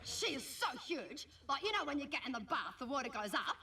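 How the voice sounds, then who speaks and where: A woman's voice: sits high, very animated, a little fast, pushed and bright, full character voice. A woman playing a bratty, gossipy Australian teenage schoolgirl in a comic monologue, rambling in slang and full of grievance. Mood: indignant, gossipy. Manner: rambling, slangy, aggrieved, mimicking family and friends. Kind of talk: comic character monologue to a live audience. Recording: noisy live recording, far from mic.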